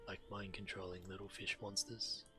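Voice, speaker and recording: A man with a fairly low voice narrates a game stream, reading quest text aloud and adding his own commentary. Clear game music plays behind him. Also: flat delivery, moderate pace. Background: video game music.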